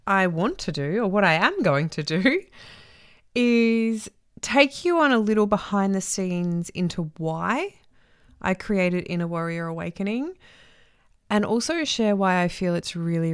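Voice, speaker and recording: A woman hosting her own podcast as a transformation coach, talking alone in an effusive way, often repeating herself for emphasis, with a voice gone husky from a week-long cough. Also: medium pitch, expressive, takes it slowly, long pauses, no room sound, clean studio sound. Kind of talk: solo podcast monologue.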